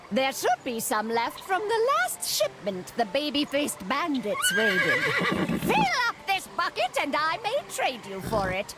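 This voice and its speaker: A woman's voice: high-pitched, very noisy. A woman voicing a vain, cranky old forest witch in a cartoon game, theatrical and curt. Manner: theatrical, curt, dismissive, mock-archaic. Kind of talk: animated video game voice acting.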